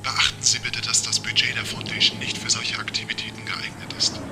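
A man's voice: on the low side, level tone, brisk, very noisy, natural voice. A man playing a polite, pedantic male car computer in an audio drama, speaking in formal, courteous phrases. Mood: precise, matter-of-fact. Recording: loud music bed.

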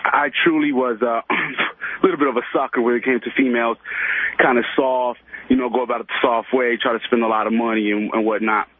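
A man's voice: mid-range voice, fairly even intonation, a little fast, dry recording. A man in his twenties calling a radio show, chatting casually in an earnest, effusive way. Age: 20s. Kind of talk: casual chat. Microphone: telephone. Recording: tinny phone line.

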